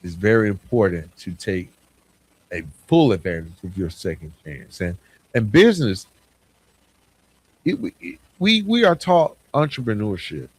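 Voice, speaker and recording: A man's voice: on the low side, big pitch swings, a little slow, husky, poor audio. A man hosting a podcast solo, speaking earnestly and reflectively in a motivational way, often stressing short parallel phrases. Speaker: podcast host. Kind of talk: reflective solo podcast monologue, partly scripted.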